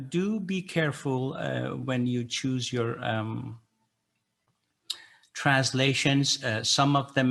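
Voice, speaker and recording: An older man, a native Persian speaker, giving an informal explanatory talk as an educator in a halting, reflective way. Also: medium pitch, gravelly, fairly bright tone. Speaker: educator and translator leading a poetry gathering.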